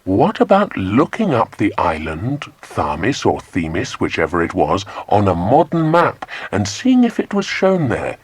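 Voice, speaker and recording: A man's voice: fairly low pitch, unhurried, few pauses, breathy edge, no room sound. A man narrating a children's adventure audiobook expressively, close on a studio microphone. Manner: expressive storytelling. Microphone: close, dry studio microphone.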